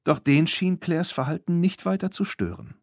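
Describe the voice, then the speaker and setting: A man narrating a children's audiobook in an expressive, scripted storytelling style. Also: a little high, moderate pace, no echo, clean studio sound.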